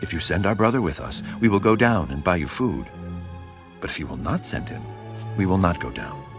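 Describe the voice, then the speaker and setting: A man's voice: deep voice, expressive, fairly quick, over music. An actor playing a solemn, earnest grown son in a Bible audio drama, grave and emphatic in his delivery. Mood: solemn warning.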